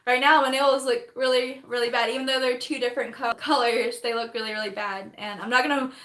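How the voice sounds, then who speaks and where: A young woman, chatting on a phone livestream while doing her makeup, rambling fast, laughing often and losing her voice. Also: a little high, fairly even intonation, fairly bright tone, roomy sound. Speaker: livestreaming vlogger.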